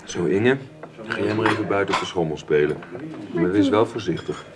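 An actor playing an earnest, reflective middle-aged aristocratic father who speaks in a measured, confiding, halting way in a Dutch radio drama. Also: deep voice, a crowd in the background, lightly acted. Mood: gentle.

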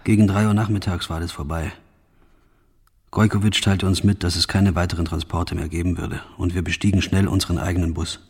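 An actor playing a guilt-ridden, exhausted soldier of a firing squad in a radio play, with a husky voice and grave, halting, restrained delivery. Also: male voice, deep voice, little pitch movement, a little mellow. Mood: weary, numb. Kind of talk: scripted first-person radio play monologue.

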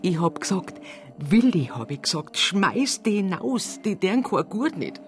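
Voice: medium pitch, very animated, unhurried. A performer playing an aggrieved, talkative small-town local with a Bavarian accent in a radio drama, rambling in dialect. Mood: bitter, sorrowful. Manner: grumbling, rambling dialect talk. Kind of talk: naturalistic radio crime drama acting.

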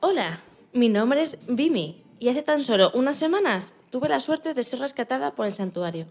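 A woman's voice: high voice, very animated, normal speed, a little mellow, heavily acted. A woman voicing an optimistic rescued female pigeon, telling her story expressively for a children's radio programme. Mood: cheerful, wistful.

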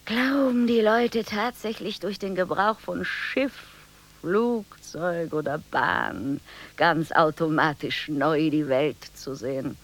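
An actress playing a brooding, sardonic, anxious woman in a radio play monologue, with a breathy, ruminating delivery. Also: fairly low pitch. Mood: scornful musing. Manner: theatrical, ruminating, drawn-out emphatic phrasing.

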